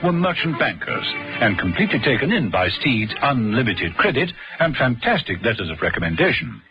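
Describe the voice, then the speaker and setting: An actor playing the narrator of a radio spy serial, reading scripted story links. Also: male voice, mid-range voice, big pitch swings, brisk, pushed and bright, faint music behind, poor audio. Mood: scene-setting.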